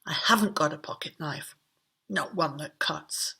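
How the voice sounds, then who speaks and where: A lonely storybook king, played by a woman reading aloud, full of childish longing and self-pity. The delivery is slow, pleading and dramatic, with a husky edge to the voice. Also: low-pitched, sharp tone, pitched below the performer's own voice, heavily acted. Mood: glum longing. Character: lonely, childishly wishful bad king, hopeful and self-pitying.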